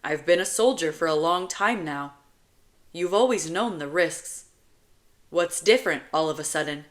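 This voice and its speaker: A woman's voice: low-pitched, normal speed, natural voice. A woman voicing a blunt, protective young male soldier and older brother in an audiobook. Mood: exasperated. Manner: stern, blunt, commanding.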